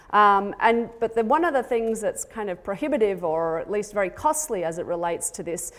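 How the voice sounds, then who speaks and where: A woman with an Australian accent gives a conference presentation as a university researcher, explaining technical material in a lecturing manner with a light joking touch. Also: medium pitch. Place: conference session before an audience.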